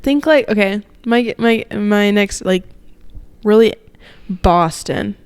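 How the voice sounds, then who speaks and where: A woman's voice: medium pitch, slow pace, clean tone, no room sound. A young woman co-hosting a podcast in casual conversation, giggly and self-deprecating, speaking into a close handheld microphone. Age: young adult. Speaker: podcast co-host. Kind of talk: casual chat. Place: living room, indoors. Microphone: handheld microphone held close.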